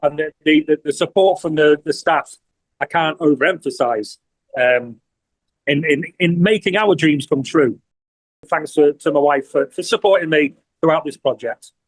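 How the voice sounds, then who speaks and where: A man's voice: fairly high pitch, no echo, poor audio. An English man in his sixties speaks earnestly in a slightly raised register, often stumbling and repeating a word before he finds the next. The sound is close and dry, with no room around it. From England. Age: 60s. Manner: earnest, halting, repeats words while searching for them. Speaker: community TV producer, award honoree. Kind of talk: interview testimonial.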